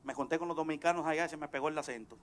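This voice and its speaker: A man in his thirties preaching from a pulpit on a church stage into a handheld microphone, building from calm teaching to shouts. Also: fairly high pitch, rapid-fire, slight background noise. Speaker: pastor. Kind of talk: bilingual church sermon.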